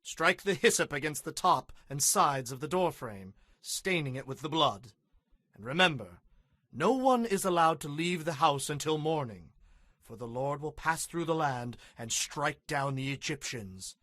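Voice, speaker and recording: A man's voice: on the high side, animated, unhurried, fairly bright tone, no room sound. An actor playing an earnest, commanding male leader of an ancient people, giving solemn, emphatic instructions in a dramatized scripture reading. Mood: solemn, instructing.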